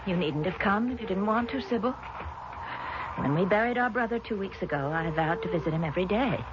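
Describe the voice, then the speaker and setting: A woman's voice: medium pitch, lively intonation, a little fast, lightly acted. An actress playing an intense, grieving sister devoted to her dead brother, in 1970s radio drama style. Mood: defiant, devoted. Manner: melodramatic, intense. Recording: muffled, noisy vintage radio recording.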